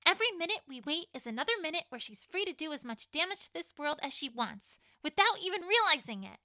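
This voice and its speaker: A woman's voice: sits high, big pitch swings, fast, bright, forceful tone, no room sound, studio quality, heavily acted. A woman voicing a studious unicorn mare who leads her friends decisively. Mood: commanding. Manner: decisive and commanding.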